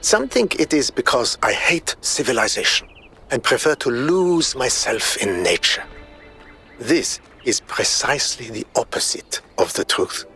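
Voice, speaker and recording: A man playing a grave, philosophical robot explorer who scorns nature, delivering a measured, eloquent game audio log monologue. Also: medium pitch, very animated, bright, forceful tone, soft background music, full character voice. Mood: reflective.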